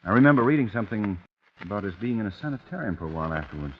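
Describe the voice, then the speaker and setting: Muffled, noisy old radio recording of a skeptical, suspicious husband in a crime drama. His pitch swings widely as he reasons things out warily. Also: sing-song, racing. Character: wary, skeptical husband suspecting a trap.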